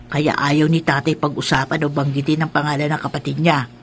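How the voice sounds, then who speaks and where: A hushed solemn mother. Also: deep voice, level tone, a little fast, a little hiss or hum. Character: mother telling a grim family secret. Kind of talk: radio drama acting.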